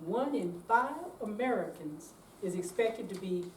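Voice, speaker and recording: An older woman giving a slow, deliberate, theatrical dramatic reading on stage. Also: mid-range voice, expressive. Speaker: amateur readers theater performer. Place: senior center room, live reading. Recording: echoey room, distant mic, clear noise.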